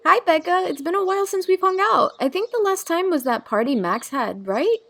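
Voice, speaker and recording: A woman's voice: extremely high pitch, expressive, a little fast, a bit bright, heavily acted. A woman playing a wry, foul-mouthed young woman in an audio drama, with a casual, dry, teasing delivery. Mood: friendly, casual. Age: young adult.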